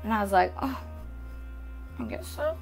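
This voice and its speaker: Raspy young woman with a lively voice. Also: mid-range voice, normal speed, faint music behind, rough recording. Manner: teasing, playful storyteller. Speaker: co-host of a couple's channel. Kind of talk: casual Q&A chat.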